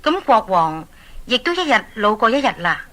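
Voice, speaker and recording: A woman's voice: fairly high pitch, very animated, normal speed, fairly bright tone. A woman with a Hong Kong accent narrating a scripted story for children, addressing them directly. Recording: 1980s story cassette.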